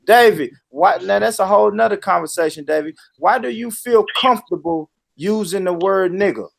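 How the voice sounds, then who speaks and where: A man's voice: sits high, normal speed, creaky. A man hosting a livestream group call, repeating himself as he calls on people and mutes mics. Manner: repeats himself while managing callers. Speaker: livestream host.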